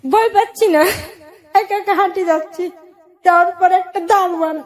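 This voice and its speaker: A woman's voice: extremely high pitch, moderate pace. A woman shares her personal story in an interview. She speaks in a plain-spoken way and relays past conversations in direct speech. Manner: plain-spoken, recounting with acted-out dialogue. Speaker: guest telling own life story.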